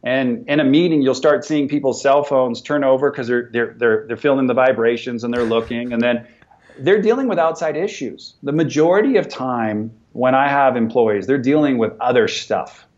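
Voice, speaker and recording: A man's voice: mid-range voice, normal speed. Motivational businessman, lo-fi sound. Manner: energetic, motivational, repeats words when starting sentences. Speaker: business owner and podcast guest. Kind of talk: conversational interview.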